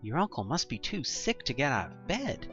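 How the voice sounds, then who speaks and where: A narrator giving a theatrical, expressive reading of a scripted horror story told in the second person. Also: medium pitch, moderate pace, lo-fi sound, music in the background. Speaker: audio play narrator.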